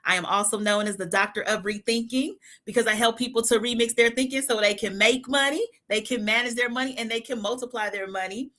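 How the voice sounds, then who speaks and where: Bright lively oakland woman, quick to laugh, keeps repeating herself for emphasis. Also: fairly high pitch. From East Oakland, California. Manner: energetic, laughs often, repeats points. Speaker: financial psychologist, co-host. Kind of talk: live stream chat.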